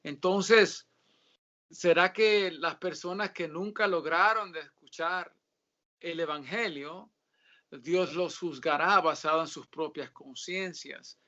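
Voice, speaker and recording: A man, a guest author, answering religious questions in a casual interview, talking haltingly with many filler sounds and pauses. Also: on the high side, sharp tone, muffled recording. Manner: reads scripture aloud in a steadier tone.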